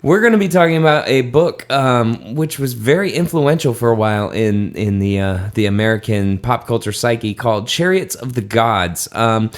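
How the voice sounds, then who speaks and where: Male podcast host, mid-pitched, tipsy, rambling delivery with frequent laughter and fillers. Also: moderate pace, hardly any pauses. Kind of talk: casual late-night podcast chat.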